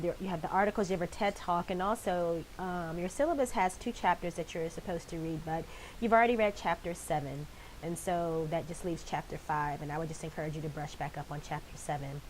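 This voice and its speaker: Stuffy-nosed woman teacher doing a class update, lowish voice, chatty with lots of ums, bit of hiss. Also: poor audio. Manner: warm, conversational, frequent ums. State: congested with a head cold. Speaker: instructor. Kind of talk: recorded weekly course announcement. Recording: faint background hiss.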